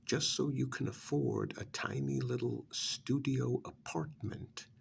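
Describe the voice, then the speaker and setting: A man's voice: medium pitch, gravelly, fairly bright tone, slight background noise, full character voice. A man doing an ASMR role-play as a cynical, persuasive recruiter for vampires, sardonic and full of prodding rhetorical questions.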